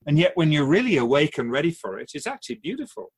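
An actor playing a wise, otherworldly male keeper of souls in a radio drama interview, gently teaching like an outsider to humankind, with a husky voice. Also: a little high, sing-song, fast, exaggerated voice. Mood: calm, instructive.

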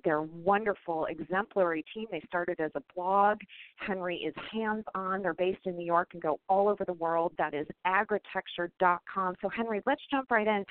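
A woman's voice: lowish voice, no room sound. A woman hosting a radio show, upbeat and enthusiastic as she introduces and questions her guest. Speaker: podcast host. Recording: tinny phone-like line.

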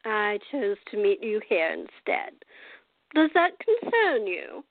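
A woman's voice: mid-range voice, very animated, slow pace, dry recording. A woman playing a blunt, imperious old woman in a radio drama, brusque and disapproving. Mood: prim, pointed. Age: older. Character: cantankerous, blunt old Australian woman, a retired nurse. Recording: dull lo-fi recording.

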